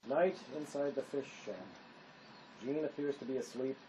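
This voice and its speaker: A man's voice: mid-range voice, steady tone, normal speed, mellow, slight background noise, poor audio. A man reading scripted stage directions as the narrator of a drama.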